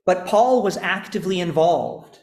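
A man's voice: sits high, expressive, moderate pace, gravelly, a little mellow. A man teaching a classroom lecture. He explains each point methodically, step by step, with examples. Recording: echoey classroom.

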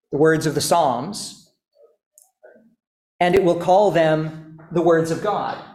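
Male lecturer, unhurried and measured, slightly high voice, echoey classroom. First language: not Estonian. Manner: measured, deliberate lecturing with pauses. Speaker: teacher.

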